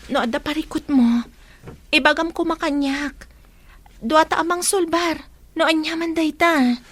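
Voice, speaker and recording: A woman's voice: high voice, fairly even intonation, unhurried, fairly bright tone. A woman with a Filipino accent playing a caring but anxious, suspicious young pregnant wife in a radio drama, with a plaintive, questioning way of talking. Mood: concerned. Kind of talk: Ilocano radio drama acting. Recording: music behind the dialogue.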